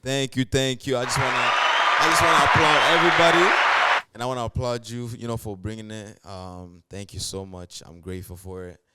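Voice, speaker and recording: A man's voice: mid-range voice, fairly even intonation, fairly quick, heavy background noise. A man hosting a podcast, asking loose, encouraging questions with lots of fillers in a casual interview. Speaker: podcast host.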